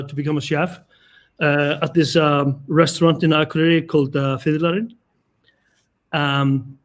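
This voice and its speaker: A man in his forties from Iceland, a chef speaking as a guest on an online talk, hesitant with many fillers and restarts. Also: a little high.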